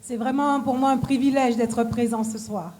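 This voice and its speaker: A woman's voice: on the high side, steady tone, normal speed, darkish tone. A woman interpreting a sermon live, phrase by phrase, laughing easily.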